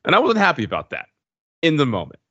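A man's voice: fairly high pitch, huge pitch swings, quick pace, fairly bright tone, no echo, dull audio. A man giving his view as a podcast panelist in a casual, hesitant, conversational way. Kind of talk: casual TV show discussion.

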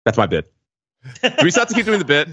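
A man's voice: high-pitched, wildly swooping pitch, fast talker, vocal fry, bright, forceful tone, slightly rough, dry recording. A man co-hosting a podcast with casual banter, on a slightly dull lo-fi microphone. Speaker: podcast co-host.

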